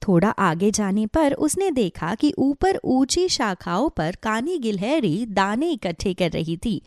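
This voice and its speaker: A woman narrating a scripted children's story in an expressive storytelling manner. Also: medium pitch, measured pace, dry recording, studio quality.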